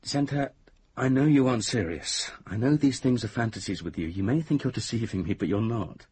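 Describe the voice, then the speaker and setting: An actor in a radio drama playing a sensible young man, a boyfriend with a breathy, husky voice and a conversational manner. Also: mid-range voice, expressive, brisk, mellow. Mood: wry, patient. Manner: conversational, placating, turning exasperated.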